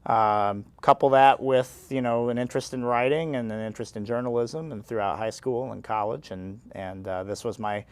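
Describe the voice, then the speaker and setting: A man's voice: medium pitch, fairly even intonation, moderate pace, hardly any pauses, smooth. A man in his twenties from small-town Illinois with an American accent, answering interview questions in a casual, rambling, wry way. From small town in Illinois, United States. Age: 20s. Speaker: interview guest, arts and entertainment editor.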